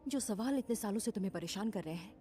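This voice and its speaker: A woman's voice: mid-range voice, expressive, fast talker, warm tone, faint music behind, lightly acted. A woman dubbing an insistent, mysterious woman who speaks earnestly of past lives in a fan-made action film dub. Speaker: dubbing actor.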